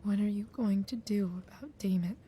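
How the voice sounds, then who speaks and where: A woman playing a guarded, shaken teenage girl in a video game, halting and subdued. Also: medium pitch, warm tone, a little hiss or hum, close to an everyday voice. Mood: shaken, guilty. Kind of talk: naturalistic video game acting.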